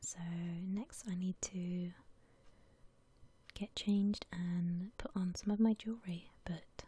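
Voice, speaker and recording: Breathy whispering woman, soft ASMR. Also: lowish voice, steady tone, slow, dry recording. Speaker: ASMR video creator. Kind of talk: whispered ASMR, casual makeup chat voice-over.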